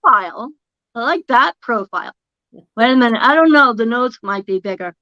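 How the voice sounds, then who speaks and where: Woman, 60s, Boston accent, teasing, wisecracking, fairly high voice, dull lo-fi call audio. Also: lively intonation, moderate pace, sharp tone, no echo. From Massachusetts. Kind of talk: casual chat.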